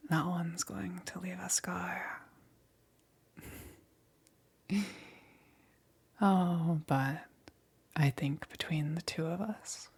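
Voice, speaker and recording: Woman acting a slow, breathy whispering demon queen. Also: fairly low pitch, exaggerated voice. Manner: slow, taunting, drawn-out condescending delivery. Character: sadistic, teasing demon queen toying with cornered prey. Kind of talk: ASMR roleplay, whispered.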